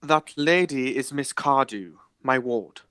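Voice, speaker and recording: A man playing a formal gentleman guardian who holds his temper, in an amateur radio play. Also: medium pitch, slow, clear, resonant tone, no echo, studio quality. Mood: restrained indignation.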